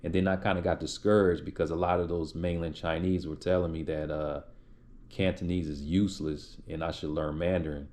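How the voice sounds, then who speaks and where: Man, very low voice, casual live stream host, rambling, lots of restarts, answers chat. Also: flat delivery, fairly quick, darkish tone, slight background noise. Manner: rambling and loose, with many restarts and fillers; reads out and replies to chat names.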